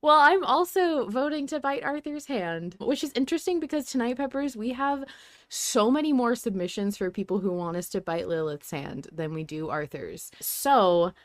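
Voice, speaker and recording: A woman's voice: mid-range voice, dry recording, studio quality. A woman hosting a podcast, talking in a casual, conversational way and reacting readily. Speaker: podcast host.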